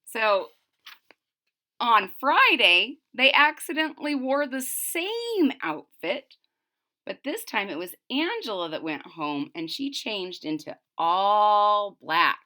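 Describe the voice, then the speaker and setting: A woman's voice: mid-range voice, very animated, takes it slowly, sharp tone. A woman reading a children's storybook aloud expressively and explaining it to young listeners in asides. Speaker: storybook reader.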